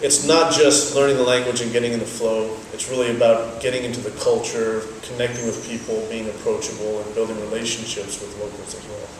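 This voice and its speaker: A young man whose first language is Dutch, giving measured, explanatory answers in an interview. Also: on the low side, little pitch movement, background noise. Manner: measured, explanatory, thoughtful pauses. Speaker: interviewee, business owner. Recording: very echoey, from a distance, faint ambience.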